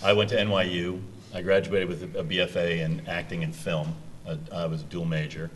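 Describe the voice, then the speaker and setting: A man's voice: low-pitched, little pitch movement, a little fast, slight background noise, poor audio. A man in his thirties moderating a university panel, talking directly and energetically to students, recorded on a table microphone in a meeting room. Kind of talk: casual panel talk.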